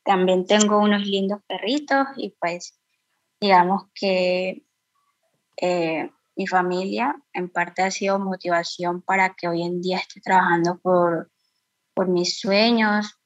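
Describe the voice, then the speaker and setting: A woman's voice: on the low side, fairly even intonation, slow pace, no echo. A teenage girl with a Colombian accent, a student talking in a conversational interview. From Quibdó, Chocó, Colombia. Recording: dry, slightly muffled lo-fi audio.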